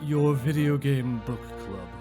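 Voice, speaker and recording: A man's voice: mid-range voice, takes it slowly. A man reading a scripted podcast promo as an announcer, with a mock-sophisticated, pompous delivery. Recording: music bed under the voice.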